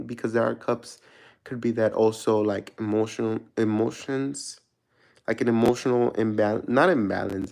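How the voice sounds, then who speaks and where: Male tarot reader from Mexico, mid-pitched and gravelly, loose and rambling delivery. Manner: rambling, searching for words, many restarts; relaxed, laughs at himself. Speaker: tarot reader answering viewer questions. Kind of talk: casual live-chat tarot reading.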